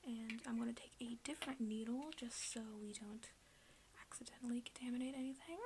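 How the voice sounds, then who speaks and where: A woman whispering in a breathy, hushed, halting way, doing an ASMR roleplay as a gloomy, deadpan emo best friend. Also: fairly high pitch, noisy background. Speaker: ASMR video creator.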